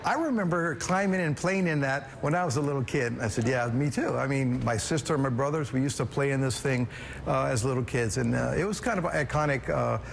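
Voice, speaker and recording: A middle-aged man from Hawaii who owns an aviation business, answering interview questions in a relaxed, conversational way with frequent fillers. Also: mid-range voice, fairly quick, creaky. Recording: noisy background in interview audio.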